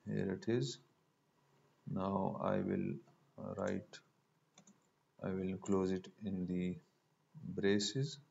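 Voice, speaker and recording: Male tutorial presenter, slow and halting, thinking aloud through each step, lo-fi mic. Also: low-pitched, lively intonation, vocal fry, a little mellow. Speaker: programming instructor. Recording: dull lo-fi mic with noise.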